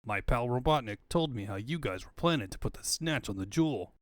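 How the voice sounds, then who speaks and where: A man's voice: mid-range voice, expressive, a little fast, dry recording, close to an everyday voice. A man voicing a tough, suspicious male echidna guardian with a stern, threatening manner in a comic book fandub. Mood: stern, proud.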